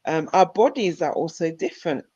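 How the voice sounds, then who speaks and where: A woman hosts a relaxed online interview, chatty and quick to laugh. Her words come padded with fillers and restarts. The sound is dull and dry, like a video call. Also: deep voice, husky, dry recording. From United Kingdom. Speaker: host. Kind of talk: casual interview conversation.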